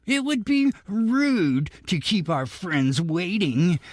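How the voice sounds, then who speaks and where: An actor playing an oily, sarcastic rich villain in a noir radio drama, with a mock-genteel, smug, chuckling manner. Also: male voice, high-pitched, expressive, takes it slowly, no room sound, clean studio sound, heavily acted. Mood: smug, needling. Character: oily, sarcastic, shady wealthy husband, cackling villain.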